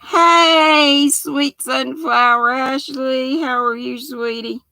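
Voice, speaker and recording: An older woman with a raspy voice, chatting folksily with her livestream viewers while she sews. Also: high voice, steady tone, takes it slowly, bright, forceful tone, no room sound. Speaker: knitting livestream host. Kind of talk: casual live chat while sewing.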